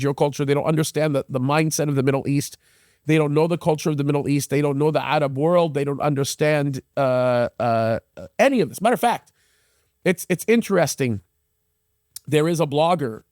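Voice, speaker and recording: The higher-pitched male host across the table in a close, dry podcast studio, pressing his points in stops and starts. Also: a little mellow, no echo. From United States, Egyptian parents. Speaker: interview host. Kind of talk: conversational interview, giving opinions.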